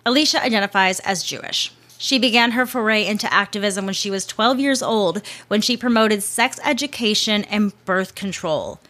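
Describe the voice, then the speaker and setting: A woman's voice: medium pitch, normal speed, few pauses, sharp tone. Chatty woman narrating with casual asides. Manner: chatty asides, swearing, self-correcting.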